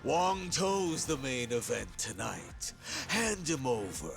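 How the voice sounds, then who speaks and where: An actor playing a menacing, mocking gang boss in a video game, with a gravelly, theatrical, taunting drawl. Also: male voice, medium pitch, very animated, takes it slowly, heavily acted. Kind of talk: video game cutscene acting. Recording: loud music under the voice.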